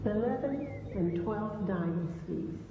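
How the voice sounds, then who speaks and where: Woman playing a slow, stately elderly Egyptian grandmother. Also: mid-range voice, expressive. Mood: grave, sorrowful. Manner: solemn, stately, deliberate. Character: elderly Egyptian royal grandmother, dignified, sorrowful, loving. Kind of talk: stage-style historical dramatization. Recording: echoey reverberant hall, dull sound, loud music.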